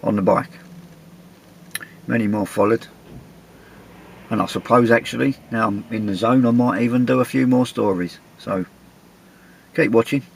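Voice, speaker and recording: An English man, middle-aged, with a gravelly, husky voice, telling a travel story in a chatty, wry, self-mocking way. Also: mid-range voice, fairly even intonation, normal speed, long pauses, a little hiss or hum, poor audio. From England. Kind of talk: casual anecdotal storytelling.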